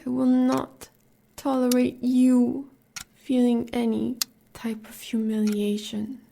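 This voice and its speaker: Woman acting a gravelly, measured American huntress lover. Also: fairly high pitch, warm tone, slight background noise, exaggerated voice. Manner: measured, intense, formal dramatic speech. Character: devoted, fierce huntress lover of a werewolf villain. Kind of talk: audio roleplay acting.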